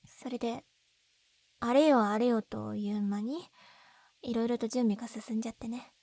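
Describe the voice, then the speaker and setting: A woman playing a gentle, lovesick young woman in an intimate roleplay, speaking softly and breathily up close. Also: on the high side, steady tone, moderate pace, no room sound, close to an everyday voice. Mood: sad, resigned. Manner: soft, breathy, intimate, often trailing into whispers. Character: lovesick young woman, gentle, tearful, pleading with childhood friend. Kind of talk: Japanese ASMR-style situation voice roleplay. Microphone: close binaural dummy-head microphone. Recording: very dry, close binaural recording.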